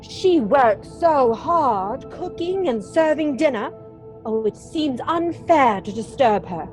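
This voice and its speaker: An actress playing a genteel young wealthy wife in a radio mystery, sweetly coaxing with drawn-out endearments. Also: female voice, high-pitched, lively intonation, darkish tone, faint music behind. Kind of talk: radio mystery drama acting.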